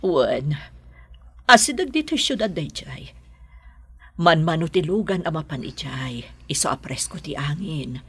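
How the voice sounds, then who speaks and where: A woman plays a worried, gentle mother in an Ilocano radio drama. Her voice is fairly low and extremely husky, with an Ilocano accent. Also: sing-song, a little slow, no echo, full character voice. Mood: reassuring.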